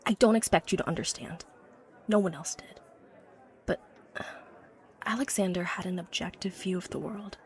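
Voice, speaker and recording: A woman's voice: lowish voice, moderate pace, pauses often, lightly acted. A woman playing an obsessive, haunted young inventor from a wealthy family in a horror audio drama, confiding hesitantly with phrases that drop to a whisper. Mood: wistful, fond. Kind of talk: horror audio drama acting, confessional monologue. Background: background music.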